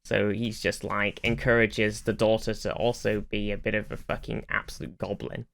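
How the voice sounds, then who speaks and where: A man's voice: fairly low pitch, little pitch movement, normal speed, gravelly, a bit bright. A man co-hosting a podcast, chatting casually and informally with plenty of swearing. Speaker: podcast co-host. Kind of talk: casual chat about a TV series.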